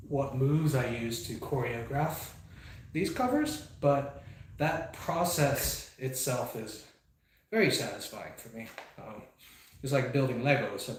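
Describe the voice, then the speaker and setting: A man hosting his own speedbag channel, chatting casually and reflectively with plenty of fillers while he trains. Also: medium pitch. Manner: reflective, meandering, many fillers. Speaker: speedbag performer and channel host. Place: indoor training space with a speedbag platform. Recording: very echoey room, faint background noise.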